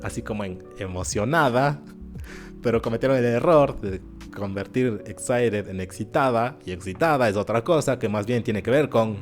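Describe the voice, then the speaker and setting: A man giving animated, sarcastic commentary in an opinion video. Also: medium pitch, normal speed. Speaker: YouTube commentator. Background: faint background music.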